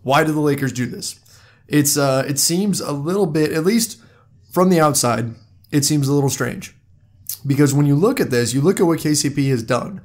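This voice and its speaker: A man hosting a solo podcast, talking straight to his listeners in a conversational way, hoarse from a cold. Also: a little high, normal speed, a little mellow. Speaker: podcast host. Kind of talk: solo sports podcast monologue.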